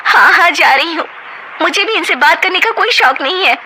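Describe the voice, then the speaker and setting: A woman doing a feisty young wife, high and defiant. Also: lively intonation, normal speed, noisy background. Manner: defiant, sharp-tongued.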